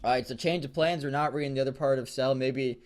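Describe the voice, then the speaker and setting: A man co-hosting a casual read-aloud show, bantering in a crude, giggly, animated way. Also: medium pitch, fast talker. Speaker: co-host of a fan fiction reading show.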